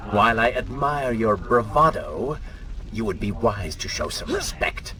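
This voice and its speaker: A man's voice: lowish voice, very animated, unhurried, sharp tone, full character voice. A man playing a disembodied inner voice for a video game, sardonic, impatient and commanding. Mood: haughty. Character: disembodied male inner voice, mocking, imperious, impatient. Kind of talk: dramatic video game acting. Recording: game music and effects behind voice.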